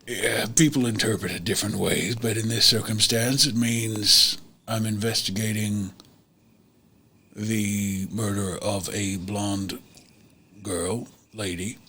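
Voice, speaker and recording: Man acting out earnest, forceful small-town cop. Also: on the low side, steady tone, slight background noise, exaggerated voice. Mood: placating. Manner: slow, halting, officious. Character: dim-witted small-town male country cop, earnest and controlling. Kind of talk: tabletop roleplay, in character.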